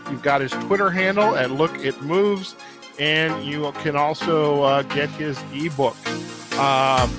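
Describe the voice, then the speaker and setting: A man hosting a podcast interview in a conversational way, often pausing and restarting his phrases. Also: a little high, steady tone, over music. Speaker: podcast host.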